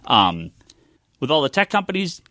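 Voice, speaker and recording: A man's voice: on the high side, sing-song, moderate pace, pushed and bright, no room sound. A man with an American accent in casual podcast discussion as a host, thinking aloud and repeating phrases as he goes. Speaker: podcast host, comic shop owner.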